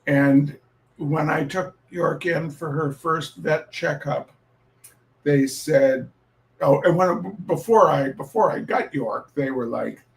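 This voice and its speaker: A man speaks very slowly in a fairly high register, as the host of a reading night. He tells personal stories informally, pausing and repeating phrases for effect. His manner is wry and a little theatrical. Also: gravelly, rough recording. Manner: deliberate, halting, with dramatic pauses and repetition. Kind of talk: informal spoken introduction with personal storytelling.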